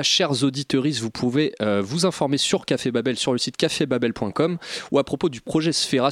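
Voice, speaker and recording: A man's voice: mid-range voice, no room sound, studio quality. A man hosting a radio interview, asking questions politely with small hesitations and wrapping up the segment. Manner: polite, slightly halting questions. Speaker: radio host.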